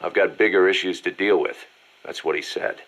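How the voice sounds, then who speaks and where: An actor playing a shrewd, pragmatic mercenary second-in-command in a video game, talking in a businesslike, calculating way. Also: male voice, deep voice, steady tone, normal speed, fairly bright tone. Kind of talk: dramatic video game dialogue.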